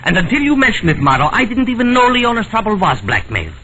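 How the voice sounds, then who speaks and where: An actor playing a hot-tempered, indignant male painter in a 1940s style radio drama, forceful and theatrical in delivery. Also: high-pitched, wildly swooping pitch, fast, full character voice. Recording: dull, noisy old radio recording.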